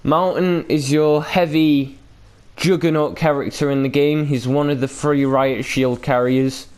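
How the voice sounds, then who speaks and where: A man's voice: on the high side, steady tone, a little slow, darkish tone, smooth. A man hosting a gaming video with casual, unscripted commentary, rambling and hesitant with loose, sweary language.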